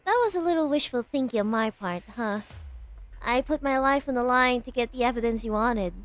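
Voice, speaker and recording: A woman's voice: on the high side, brisk, no room sound, close to an everyday voice. A woman playing a shrewd, wry, insistent doctor in an amateur game fan dub.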